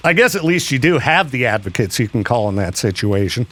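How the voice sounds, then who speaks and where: A man hosting a radio show, chatting and joking in a lively, animated way. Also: medium pitch, fairly quick, faint music behind. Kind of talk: casual radio banter.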